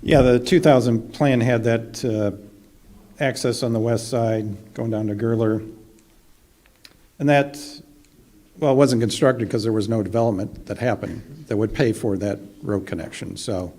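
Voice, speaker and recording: A man working as a city planner, answering residents' questions in a measured way with frequent fillers. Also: mid-range voice, fairly even intonation, a little mellow, a little hiss or hum.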